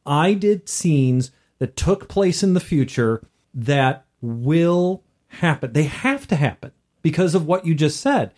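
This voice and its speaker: A man's voice: fairly high pitch, big pitch swings, slow pace, a little mellow. A man co-hosting a casual chat about tabletop gaming, talking as a game master. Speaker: podcast co-host and game master.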